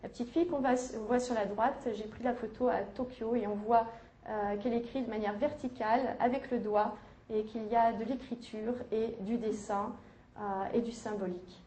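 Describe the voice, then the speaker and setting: A woman giving an academic conference lecture, measured, with hesitations and self-corrections. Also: on the high side, fairly even intonation, dark tone, muffled recording, a little hiss or hum. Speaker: university lecturer. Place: lecture hall. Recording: echoey lecture hall.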